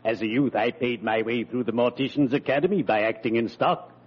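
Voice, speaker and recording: A man's voice: mid-range voice, fairly quick, slightly rough, close to an everyday voice. A man playing a friendly, morbidly cheerful undertaker in a grand, genteel, theatrical manner for an old radio comedy. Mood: wistful, droll. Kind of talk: 1940s-50s American radio comedy acting. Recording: dull old radio recording.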